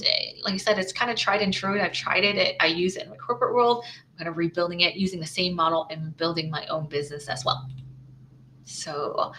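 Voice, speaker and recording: A woman's voice: mid-range voice, bright, forceful tone, a little hiss or hum. A woman running her own small business, walking through her slides in a casual screen-share, thinking aloud with lots of "right?" tags and restarts.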